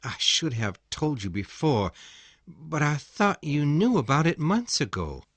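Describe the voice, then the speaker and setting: A soft-spoken young gentleman from a storybook, gentle but pained and torn, as a man acts him. His voice is restrained yet lively, moving up and down a lot. The recording is dry and close. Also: fairly high pitch, a little slow, no room sound, exaggerated voice. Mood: sad. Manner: soft, restrained, turning harsh when refusing.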